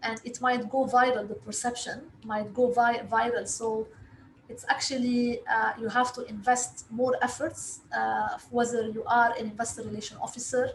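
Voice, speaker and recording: High-voiced Lebanese woman in an echoey room. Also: level tone. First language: Arabic. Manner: halting, hesitant delivery with frequent long filled pauses. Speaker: interview guest, investor relations director. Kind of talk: interview answers. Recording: echoey room with faint background noise.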